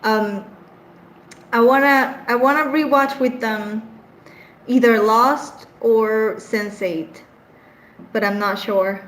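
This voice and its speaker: A young woman from Venezuela with a Venezuelan accent, whose first language is Spanish, chatting casually with her live-stream audience and laughing often, her voice trailing off softly. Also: a little high, fairly even intonation, slow, pauses often, poor audio. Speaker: streamer answering live chat.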